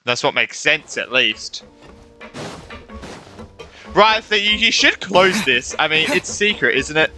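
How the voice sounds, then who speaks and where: A man's voice: fairly high pitch, expressive, takes it slowly, pauses often, sharp tone. A man giving casual gameplay commentary as a streamer, slangy and repeating himself. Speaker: game streamer. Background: game music.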